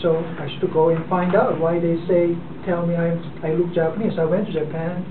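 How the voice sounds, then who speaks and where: A man's voice: a little high, flat delivery. Korean-accented man speaking from afar. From South Korea. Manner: halting, with many fillers and restarts. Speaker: club member giving a speech. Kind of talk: unprepared speech. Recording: distant, echoey, phone-like and noisy.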